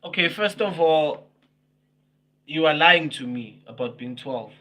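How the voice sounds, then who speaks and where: A man's voice: on the high side, animated, measured pace, sharp tone. A man in his twenties chatting casually with viewers on a livestream, teasing them, laughing often and repeating himself. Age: 20s. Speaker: livestream host.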